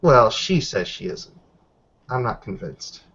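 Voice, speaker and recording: A suspicious male werewolf giving reports in a tabletop game, acted by a man. He talks slowly in a mid-pitched voice, stopping to correct himself. The sound is dull and lo-fi. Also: big pitch swings, fairly bright tone, breathy edge, exaggerated voice. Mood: guarded, sceptical. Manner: deliberate, hesitant, self-correcting. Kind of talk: improvised tabletop roleplay. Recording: dull lo-fi voice recording.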